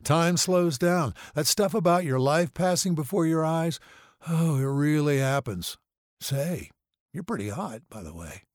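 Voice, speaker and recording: A man's voice: fairly high pitch, animated, measured pace, no echo, studio quality, pitched above the performer's own voice. A man voicing an injured young man who is dazed, slurred and cheekily woozy on painkillers, in an audiobook. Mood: woozy, playful. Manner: slurred, loopy. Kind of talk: audiobook character acting.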